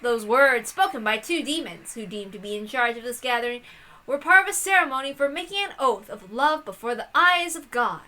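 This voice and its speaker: Woman, high-pitched, bright tone, expressive story reader, casual host. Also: brisk. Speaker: reader of a visual novel, host.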